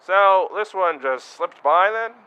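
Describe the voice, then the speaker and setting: A man playing a bright, eager field reporter. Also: high-pitched, lively intonation, slow, clean tone, heavily acted. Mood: upbeat. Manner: chatty, upbeat interviewer. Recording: dull lo-fi recording.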